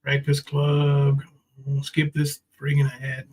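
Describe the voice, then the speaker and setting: Middle-aged man, higher-pitched, chatting casually. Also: completely flat delivery, takes it slowly, a little mellow, slightly rough. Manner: informal, foul-mouthed. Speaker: livestream host. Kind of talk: casual livestream chat.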